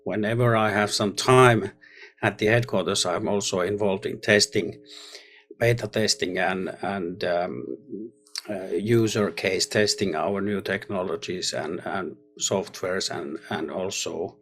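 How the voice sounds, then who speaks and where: A man answering interview questions as an audio product specialist, speaking haltingly and often repeating words. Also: deep voice, fairly even intonation, fairly bright tone.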